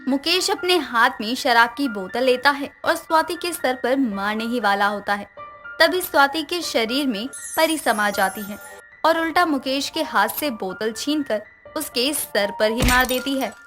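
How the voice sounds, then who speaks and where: A woman's voice: high-pitched, animated, a little slow, fairly bright tone, soft background music. A woman narrator reading a scripted moral bedtime story.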